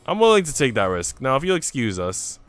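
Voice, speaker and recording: A defiant housemaid played by a man answers with composed, dry politeness. The voice is smooth and clear with lively inflection, in visual novel style. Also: medium pitch, a little fast, soft background music. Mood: calm defiance. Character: cool, calm, protective housemaid, defiant and dry.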